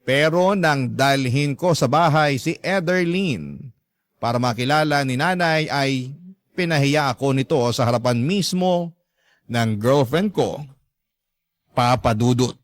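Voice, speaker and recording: A man's voice: medium pitch, measured pace, dry recording. A man narrates a personal love story from a script, as if telling it to a radio host. His voice sits in a middle register and the recording is dry and close.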